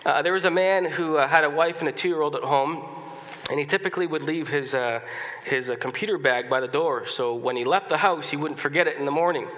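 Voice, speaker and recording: A man preaching in a church, his voice stuffed up from a cold and a little high for a man, with a bright, forceful edge. He talks in a loose, conversational way, restarting phrases and clearing his throat. The sanctuary gives a long, ringing reverb. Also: brisk. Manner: conversational, many restarts and fillers, occasional coughs and throat-clearing. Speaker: pastor. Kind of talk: sermon.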